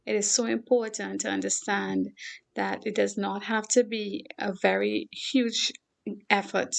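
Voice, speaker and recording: A middle-aged woman from Trinidad and Tobago with a Trinidadian accent, talking earnestly and in a measured way as a show guest. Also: medium pitch, flat delivery, vocal fry. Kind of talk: conversational interview talk.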